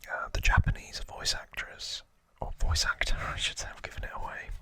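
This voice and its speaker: A man whispering trivia as an ASMR host, in a hushed, informal and unhurried explaining manner.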